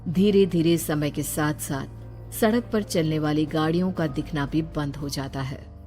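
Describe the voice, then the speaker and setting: A woman's voice: deep voice, a little slow, mellow. A woman reading a scripted horror story as its narrator. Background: background music.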